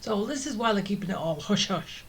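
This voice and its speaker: A woman's voice: mid-range voice, animated, brisk, slight background noise. A woman giving casual, informal playthrough commentary, reacting and musing as she goes. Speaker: game streamer. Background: game music.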